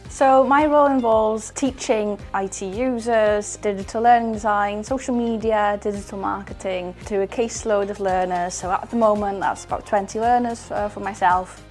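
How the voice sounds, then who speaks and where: A woman speaks casually about her work as a digital skills trainer, at a middle pitch. Her sentences are loose and full of filler likes. Faint music plays underneath. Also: fairly even intonation, normal speed, hardly any pauses, darkish tone. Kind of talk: recorded profile interview.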